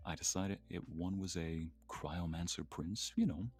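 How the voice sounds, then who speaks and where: A man's voice: deep voice, fairly even intonation, unhurried, darkish tone, slightly rough. A man talking casually and playfully on a live stream, in a chatty way. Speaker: voice actor and guest creator. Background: faint background music with guitar.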